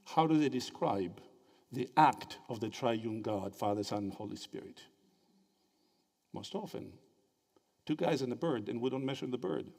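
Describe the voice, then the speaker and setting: A man from Greece giving a lively, interactive theology lecture and quizzing his audience with quick questions. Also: medium pitch. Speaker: theology speaker at a symposium. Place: lecture hall. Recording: reverberant hall.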